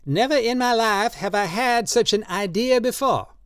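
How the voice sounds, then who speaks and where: A storybook reader voicing an excitable, drunken old man who pleads and insists, raised well above the reader's own voice with an altered tone. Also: mid-range voice, normal speed, clean tone, no room sound, clean studio sound, voice pushed up, close to an everyday voice. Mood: eager.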